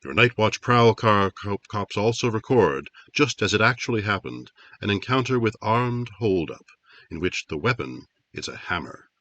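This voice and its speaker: Guy doing old 50s radio announcer, lowish pitch, punchy dramatic promo read, lots of up and down. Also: lively intonation, moderate pace, fairly bright tone, lo-fi sound. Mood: emphatic. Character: brisk, dramatic 1950s male network radio announcer.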